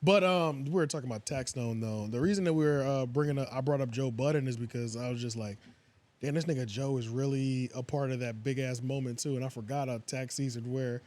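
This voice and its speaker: An American man hosting a casual podcast, talking in an animated, slangy and emphatic way. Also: mid-range voice, brisk. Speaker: podcast host.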